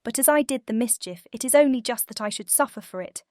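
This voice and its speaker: A woman playing a gentle, selfless young heroine in a fairy tale audiobook, speaking earnestly and formally. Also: on the high side, animated, fast talker, no echo, studio quality, close to an everyday voice. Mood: distressed, resolute.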